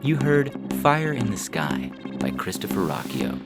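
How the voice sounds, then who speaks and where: A man hosting a podcast, reading scripted credits in a formal, announcer-like way with measured phrasing. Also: deep voice, sing-song, over loud music. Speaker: podcast host.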